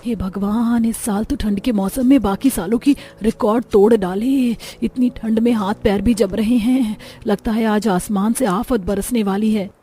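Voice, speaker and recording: A woman's voice: mid-range voice, fairly even intonation, moderate pace, hardly any pauses, dark tone, lightly acted. A woman voicing a poor, overworked mother in a cartoon story, plaintive and melodramatic. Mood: distressed. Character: poor, overworked, long-suffering mother of many daughters.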